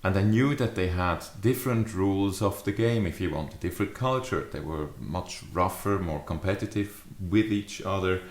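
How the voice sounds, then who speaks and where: A man in his fifties with a lowish voice talks reflectively to camera, like an adviser explaining a practice. His phrasing is measured and deliberate. The sound carries clear echo from a furnished room indoors. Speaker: leadership adviser. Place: small furnished study or living room, indoors. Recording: echoey living room.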